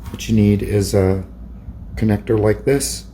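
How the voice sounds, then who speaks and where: A man with a fairly low, very mellow voice walks through a product demonstration. He sounds like a hobbyist presenter, and there is noticeable background noise in the recording. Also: a little slow.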